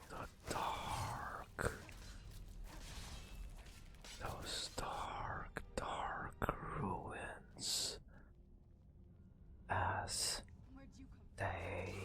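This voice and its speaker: Very slow whispering man, ASMR storyteller. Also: deep voice. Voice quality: breathy whisper. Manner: slow, hushed, dramatic narration with long pauses. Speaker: ASMR gaming host. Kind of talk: soft-spoken ASMR storytelling over fantasy gameplay.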